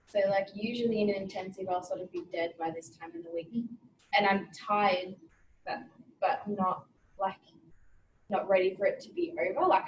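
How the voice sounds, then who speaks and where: A woman's voice: medium pitch, slight background noise. A female student from Australia, talking casually in a class discussion and thinking aloud. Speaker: student teacher. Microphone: table mic, away from her. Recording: distant, echoey classroom sound.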